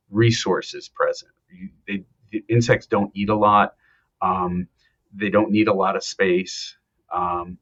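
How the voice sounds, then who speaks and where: A male professor from Ohio, answering interview questions in a relaxed, explanatory way, pausing often with ums. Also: on the low side, lo-fi sound. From Ohio, United States. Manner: explanatory, hesitant with many ums. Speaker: anthropology professor, guest. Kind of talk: conversational interview.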